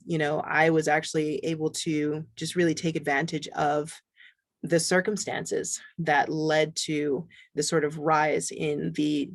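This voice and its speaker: A man's voice: fairly high pitch, gravelly, no room sound. Guy from barbados, laid-back panel chat on a video call, lots of um and you know, dry sound. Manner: relaxed, conversational with many fillers. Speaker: panelist artist. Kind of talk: panel discussion.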